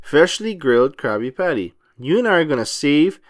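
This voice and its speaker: A man voicing an earnest, excitable cartoon sea sponge fry cook with eager sincerity, in a storybook reading. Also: on the high side, moderate pace.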